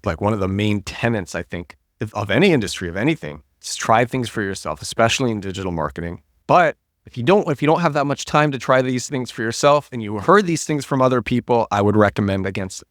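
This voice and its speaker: Male podcast host, recovering from a cold, low husky delivery, dry close sound, animated rants with restarts. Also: quick pace, dry recording. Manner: animated, restarts and repeats words. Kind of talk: solo podcast monologue.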